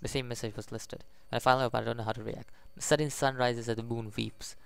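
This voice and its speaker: A man hosting a gaming video, reading a visual novel aloud in a halting way, with casual commentary. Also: mid-range voice, flat delivery, vocal fry, fairly bright tone, smooth, no echo. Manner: halting, stumbles over the text.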